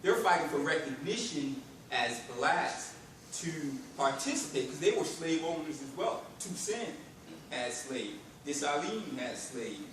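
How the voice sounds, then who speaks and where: A man's voice: fairly high pitch, sing-song, fairly bright tone. Haitian man, academic presenter, mid-range voice, heavy filler words, heard from a distance in a very echoey room with background noise. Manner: halting, many filler words, builds in emphasis. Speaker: conference presenter. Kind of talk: academic lecture. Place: university conference room.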